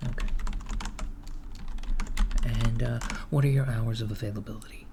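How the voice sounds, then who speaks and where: A man in an ASMR roleplay playing a mild-mannered corporate recruiter of henchmen, soft-spoken, hesitant and politely matter-of-fact. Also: medium pitch, fairly even intonation, moderate pace, gravelly, noisy background, lightly acted. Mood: polite, businesslike. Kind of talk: ASMR roleplay, job interview.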